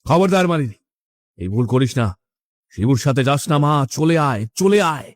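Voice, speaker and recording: A man's voice: medium pitch, lively intonation, moderate pace, no echo, close to an everyday voice. An actor playing the stern, reproachful ghost of a wronged father in a horror cartoon story. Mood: urgent warning.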